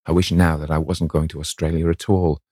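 A man's voice: rumbling low, little pitch movement, a little fast, a little mellow, slightly rough, no room sound, clean studio sound. A man playing a sullen, protective sixteen-year-old boy who speaks bluntly and broodingly.

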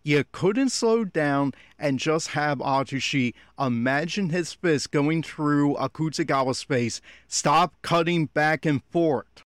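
A man's voice: fairly high pitch, slow pace, few pauses, no echo. Exasperated man giving critical commentary, dry recording. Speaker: podcast host giving audio commentary. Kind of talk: critical reaction commentary on an anime episode.

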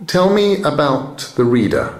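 A man's voice: medium pitch, huge pitch swings, unhurried, voice pushed up. Audiobook role: young storybook adventurer male reader, inquisitive, decisive, businesslike, echoing space. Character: newly created young storybook character, eager, businesslike. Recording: roomy echo.

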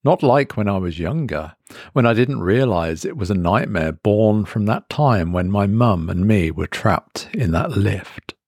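A man reading a first-person horror story as a trapped man in dazed, creeping dread, speaking in halting, brooding phrases. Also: lowish voice, animated, darkish tone, slightly rough, no echo, clean studio sound, exaggerated voice. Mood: brooding recollection.

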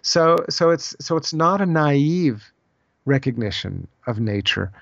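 A man speaking as an interview guest, explaining ideas in a thoughtful, halting conversational way and often repeating phrases as he goes. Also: a little high, lively intonation, vocal fry, no room sound. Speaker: podcast guest, author on Celtic spirituality. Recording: slightly dull, lo-fi audio.

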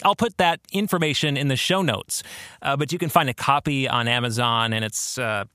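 A man hosting a podcast, explaining theology in a conversational, earnest way with expressive emphasis. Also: medium pitch, normal speed, no room sound, studio quality. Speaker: podcast host.

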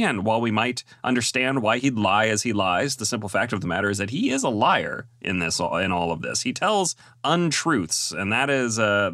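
Animated, bright-voiced male podcast host. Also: mid-range voice, dry recording, studio quality. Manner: moderating, reading a film summary with many "uh" fillers. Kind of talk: podcast discussion and film review.

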